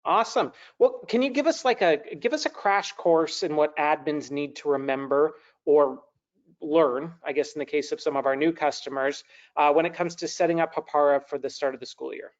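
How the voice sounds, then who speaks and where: Upbeat American-sounding host, slightly high. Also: male voice, normal speed. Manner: upbeat, rambling with many restarts. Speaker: webinar host. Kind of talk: webinar hosting. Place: home room with brick wall, indoors. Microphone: video call, laptop mic. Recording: dull lo-fi video call audio.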